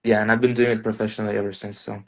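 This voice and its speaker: A man's voice: on the low side, little pitch movement, racing, vocal fry. A man in his twenties from Uzbekistan, a native Russian speaker, chatting easygoing as a guest pianist over a phone live stream. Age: 20s. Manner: easygoing, self-deprecating, filler-heavy. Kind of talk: casual interview chat.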